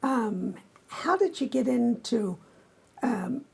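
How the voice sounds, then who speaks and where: A woman hosting an interview in a local studio, her fairly high voice very raspy and gravelly. She keeps things moving with short encouraging remarks and questions. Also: lively intonation, slow. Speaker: host. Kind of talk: interview host.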